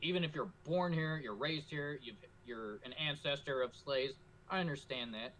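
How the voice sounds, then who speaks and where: A man's voice: fairly high pitch, normal speed, a bit bright, slight background noise, low-quality recording. An American man co-hosting a casual political podcast, talking in an emphatic, lecturing way and repeating his points. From United States. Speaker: co-host.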